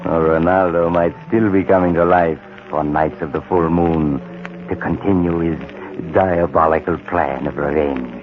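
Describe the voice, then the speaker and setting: Very deep macabre horror host. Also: male voice, fairly even intonation, unhurried, a little hiss or hum. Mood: sly, macabre. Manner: sly, theatrical, confiding. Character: macabre, sly male storyteller living beside a cemetery. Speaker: host and narrator. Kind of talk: old-time radio horror narration. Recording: tinny old radio recording. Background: music.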